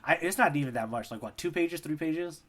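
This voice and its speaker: Slightly high-voiced man, playful podcast chat. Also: a little fast, a bit bright. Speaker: podcast co-host. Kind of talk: casual chat, manga discussion.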